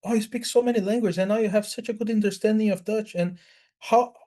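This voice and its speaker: High-pitched male voice, Italian accent, native Italian and Portuguese speaker, casual chat, halting, repetitive, blunt. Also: steady tone, mellow. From Brazil. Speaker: language learner.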